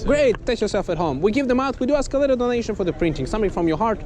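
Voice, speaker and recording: A man from Montreal who is a monk handing out books to strangers on a city street. He talks in short, upbeat pitches full of compliments. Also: lively intonation, racing. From Canada, Montreal. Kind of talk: street outreach chatting with strangers. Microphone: camera-mounted mic. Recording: loud music laid over the street sound.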